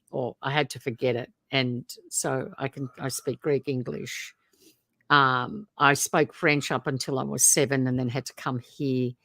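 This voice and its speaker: A middle-aged woman from Belgium co-hosting a casual chat, speaking in a relaxed, steady way. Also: a bass voice, dry recording.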